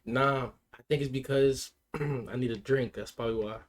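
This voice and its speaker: Hoarse young man streaming casually. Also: mid-range voice, steady tone, normal speed. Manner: laid-back, slangy, talks to chat. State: hoarse, losing his voice. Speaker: livestreamer.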